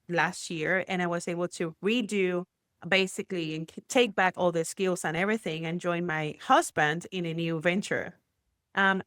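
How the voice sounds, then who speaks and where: A woman from Puerto Rico with a Puerto Rican accent, a guest entrepreneur telling her story in a chatty, animated way with many fillers. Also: fairly low pitch, dry recording, clean studio sound. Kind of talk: casual interview chat.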